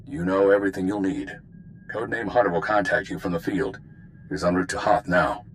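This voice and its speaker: A stern spymaster played by a male actor hands out orders in a fairly low voice. He is curt, secretive and matter-of-fact, as in a dramatic game cutscene, with faint noise and ambience behind him. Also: a little fast, close to an everyday voice, poor audio. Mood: guarded. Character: curt, secretive Republic intelligence spymaster man giving orders. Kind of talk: dramatic video game voice acting.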